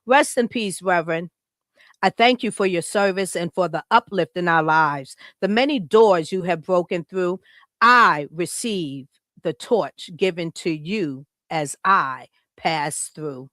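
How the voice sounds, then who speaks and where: A woman's voice: lowish voice, measured pace, fairly bright tone, no echo, clean studio sound. An American woman hosting a podcast, giving a casual tribute that is partly read aloud. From Chicago, United States. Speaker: podcast host.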